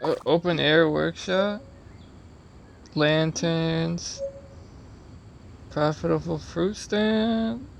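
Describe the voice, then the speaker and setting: A man's voice: a little high, extremely slow, gravelly, long pauses, slight background noise. A young man giving casual gameplay commentary in a slangy, offhand way with some swearing. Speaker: gamer playing on camera or stream.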